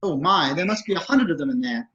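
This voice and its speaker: A prim, anxious protocol droid, acted by a man, speaks in a fairly high voice at a brisk clip. The wording is formal and fretful, as in a film script read-through. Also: expressive, sharp tone. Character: fussy, anxious, polite male protocol droid. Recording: dull lo-fi call audio.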